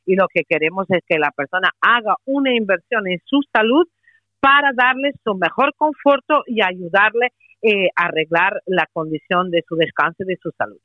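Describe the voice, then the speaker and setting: A woman with a Portuguese accent, a company representative making a persuasive sales pitch by phone on the radio, hesitating often with "eh". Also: normal speed, no room sound. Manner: persuasive, many hesitations and "eh" fillers. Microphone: telephone. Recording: tinny phone line, dry.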